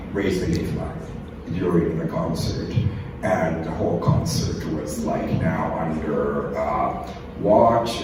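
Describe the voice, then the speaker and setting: A man giving an informal, enthusiastic lecture to students, often digressing and putting questions to them. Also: deep voice. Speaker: university lecturer and radio host. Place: echoey lecture room. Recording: echoey room, distant mic, noisy background.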